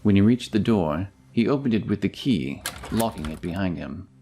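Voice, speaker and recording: A man narrating a fantasy story in a measured, dramatic scripted style. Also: low voice, lively intonation, mellow, a little hiss or hum. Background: music.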